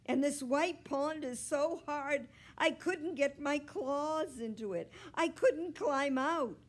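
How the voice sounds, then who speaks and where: A woman voicing a frantic, demanding female cat in a storybook, yowling loudly and complaining with desperate drama. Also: high voice, drawn-out words, voice pushed up. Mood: aggrieved. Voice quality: loud, yowling.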